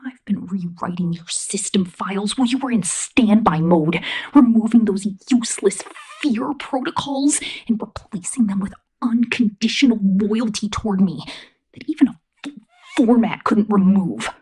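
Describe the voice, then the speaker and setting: Woman whispering as a menacing, breathy robot girl. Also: medium pitch, normal speed, warm tone, rough recording. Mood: possessive, sinister. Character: menacing, possessive young female killer robot drone, megalomaniac yet lonely. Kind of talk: ASMR roleplay, intimate whispered monologue.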